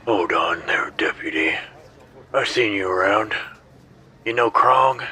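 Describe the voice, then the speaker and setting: A man playing a gruff, weary space sheriff in an audio drama, with a rough, folksy, firm manner. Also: on the low side, expressive, slow, sharp tone, slight background noise, exaggerated voice. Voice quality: rough, raspy.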